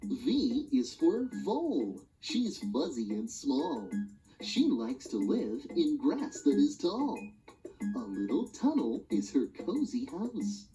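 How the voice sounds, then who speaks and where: Slow, sing-song woman reading rhymes. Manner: sing-song, highly expressive rhyming delivery. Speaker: storybook reader. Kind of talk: children's read-along narration. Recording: dull lo-fi audio with music behind.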